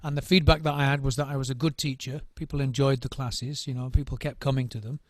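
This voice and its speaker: A man's voice: medium pitch, level tone, fast talker, no echo, clean studio sound. A middle-aged man, a drum circle trainer, giving thoughtful interview answers, often restarting and repeating words as he searches for what to say.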